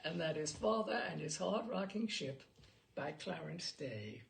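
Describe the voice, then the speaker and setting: Animated woman storyteller with a creaky edge. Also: low-pitched, measured pace, slight background noise. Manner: animated, theatrical emphasis on key words. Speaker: storyteller and host reading short stories. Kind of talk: expressive literary story reading.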